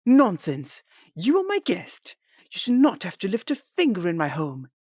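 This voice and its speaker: A genteel alien prince, played by a voice performer, husky and animated in a mid-range voice. The speech is formal, soft-spoken and hospitable, in audio drama style. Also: mid-range voice, normal speed, no room sound, clean studio sound, full character voice. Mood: gracious. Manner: formal, gracious.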